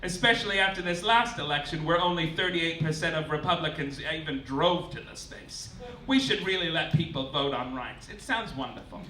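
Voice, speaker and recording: A high-pitched man hosts a live comedy show with arch, theatrical flair. He delivers satirical pronouncements like official rulings, campy throughout. The sound is roomy and distant, with clear noise behind him. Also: moderate pace, pushed and bright. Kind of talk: satirical stage monologue read as mock official announcements. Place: live stage in a club before an audience.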